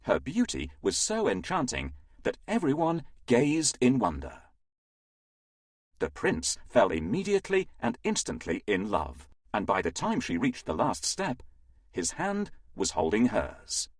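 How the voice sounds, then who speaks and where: A man reading a scripted children's fairytale as an expressive, dramatic storyteller. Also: mid-range voice, unhurried, slightly rough, no echo, clean studio sound.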